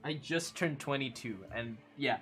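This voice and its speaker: A man's voice: medium pitch, a little slow, faint music behind. A man in his early twenties hosting a stream, chatting casually and answering viewer comments with fillers and frequent laughs. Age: 20s. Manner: relaxed, chatty, laughs often, many fillers. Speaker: livestream host.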